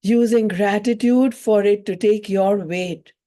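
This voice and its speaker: Female meditation and breathwork guide: relaxed warm tone, unhurried delivery, soothing, spacious pauses. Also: mid-range voice. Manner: soothing, measured phrases with long pauses. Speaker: yoga and breathwork teacher.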